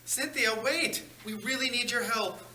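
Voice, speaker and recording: A man voicing a friendly, excitable male ghost sidekick with a playful, gushing manner at a comedy table read. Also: extremely high pitch, measured pace, exaggerated voice. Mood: excited. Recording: echoey, noisy meeting room.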